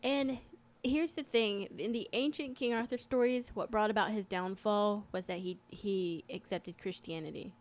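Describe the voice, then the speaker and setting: A woman's voice: mid-range voice, normal speed, few pauses. Female podcast co-host, mid-range voice, casual and earnest explainer.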